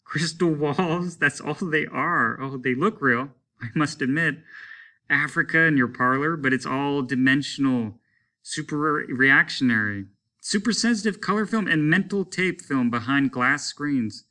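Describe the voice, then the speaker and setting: A male teacher reading aloud, playing a calm husband who reassures his wife with light amusement. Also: fairly high pitch, unhurried, pitched above the performer's own voice. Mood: reassuring. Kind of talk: classroom read-aloud.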